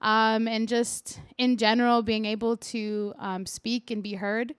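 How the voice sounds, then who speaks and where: A woman singer from Canada with a loud, projecting voice, chatting casually as an interview guest. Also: fairly high pitch, level tone, measured pace, clear, resonant tone, no echo, studio quality.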